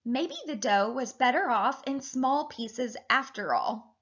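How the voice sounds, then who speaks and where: A woman with a high voice speaks as a teacher to young children. Her delivery is animated and deliberate, as in a picture-book read-aloud. The sound is slightly dull, like a webcam recording indoors. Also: husky.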